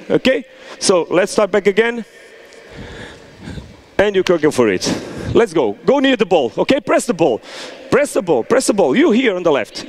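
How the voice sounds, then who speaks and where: Animated coach calling out drills. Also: male voice, high voice, slight background noise. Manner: animated instructions with frequent "okay?" check-ins. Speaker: soccer coach. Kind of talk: on-field coaching demonstration. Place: large indoor convention hall.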